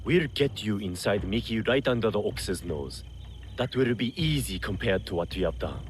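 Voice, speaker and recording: An actor playing a smooth, self-serving male smuggler boss in feudal Japan, suave and mock-courteous, in a video game. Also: fairly low pitch, animated, moderate pace, slight background noise, natural voice. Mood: sly, mocking. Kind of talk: scripted video game cutscene acting.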